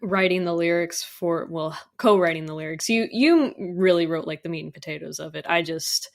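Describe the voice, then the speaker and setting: A woman's voice: fairly low pitch, normal speed, creaky. A woman chatting casually as a podcast guest, with an enthusiastic, informal way of talking. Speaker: guest, audio drama composer. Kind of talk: casual podcast interview chat.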